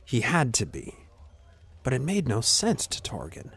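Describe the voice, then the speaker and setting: A man narrating scripted fantasy fiction in a measured, dramatic storytelling manner with deliberate pauses. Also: medium pitch, huge pitch swings, gravelly, a bit bright, husky.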